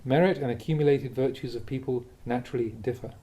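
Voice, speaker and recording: A man, a Buddhist monk, reading a dharma talk aloud in a measured, reflective way with the occasional soft chuckle. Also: medium pitch.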